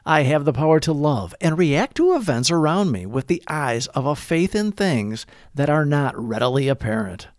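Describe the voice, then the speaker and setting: A man narrating scripted devotional readings in a measured, deliberate way. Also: fairly high pitch, very animated, no room sound, clean studio sound. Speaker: narrator of daily recovery readings.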